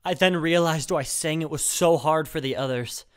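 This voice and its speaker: A man's voice: fairly high pitch, moderate pace, clean tone, natural voice. Bitter, haunted male survivor, an actor's performance. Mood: haunted, intense. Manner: intense, bitter. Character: traumatized, bitter male survivor recounting a hostage ordeal. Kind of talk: dramatic first-person narration.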